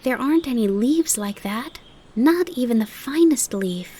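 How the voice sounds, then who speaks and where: A woman's voice: fairly high pitch, animated, measured pace, warm tone, a little hiss or hum, voice pushed up. A woman voicing an eager, curious young male fawn who asks wide-eyed questions. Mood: excited.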